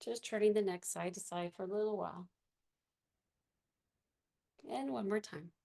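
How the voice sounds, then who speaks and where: A woman with a raspy voice leading a gentle movement and meditation class, giving soft, unhurried instructions with fillers. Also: mid-range voice.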